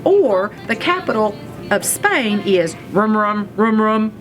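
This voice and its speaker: A loud male storybook bullfrog acted out by a woman reader croaks over and over, relentless and booming. The croaks come slowly and stubbornly, with music playing behind. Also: big pitch swings. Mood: loud, croaking.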